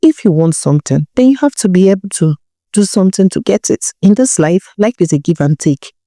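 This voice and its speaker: A woman's voice: medium pitch, animated, brisk, warm tone, no room sound, studio quality, lightly acted. A woman storyteller voicing a worldly, scornful young Nigerian woman who lectures bluntly in a folktale. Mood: scornful, lecturing. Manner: blunt, lecturing, rhetorical questions. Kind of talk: storybook character dialogue.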